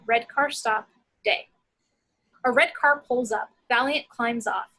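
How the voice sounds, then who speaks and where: Female narrator for screenplay action lines, bright and forceful with some rasp. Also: on the high side, low-quality recording. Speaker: action-description reader.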